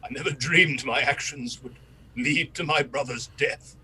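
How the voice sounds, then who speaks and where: An actor playing a disgraced English colonel in a radio play, a cornered, self-pitying traitor pleading and confessing in agitation. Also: male voice, medium pitch, a little slow, sharp tone, slight background noise, low-quality recording. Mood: broken, remorseful.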